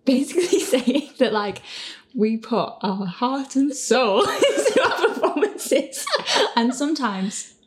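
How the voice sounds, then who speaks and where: Giggly, higher-voiced woman chatting casually. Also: slow pace. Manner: animated, often quoting imagined reactions. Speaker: host and podcast creator answering listener questions.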